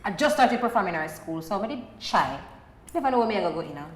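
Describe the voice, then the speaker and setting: A woman's voice: mid-range voice, very animated, quick pace. A woman with a Jamaican accent, a stage performer chatting casually as a podcast guest. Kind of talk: casual group chat. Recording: echoey room, faint background noise.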